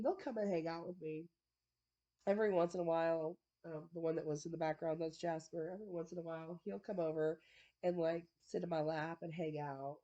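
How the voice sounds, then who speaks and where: A woman with a stuffy, congested nose talks to her camera at home in a loose, rambling way, full of fillers and small laughs. Her voice sits low and mellow. The recording is a bit dull and lo-fi. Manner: rambling, chatty, laughs often, many fillers. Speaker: vlogger. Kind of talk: casual chat to camera. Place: at home indoors.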